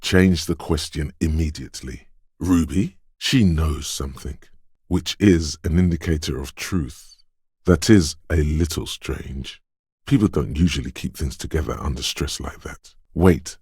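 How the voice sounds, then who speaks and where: A watchful inner voice narrating a detective video game. He is extremely deep, with a slightly husky edge and a dry studio sound. The delivery is deliberate and knowing, wry yet always alert. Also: a little mellow, no echo, heavily acted.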